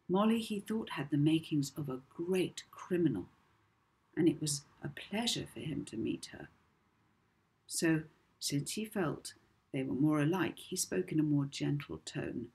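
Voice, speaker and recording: A woman narrates a children's story expressively. Her voice is very breathy and husky, fairly low, and she takes her time over the words. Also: a little mellow. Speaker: author reading own book.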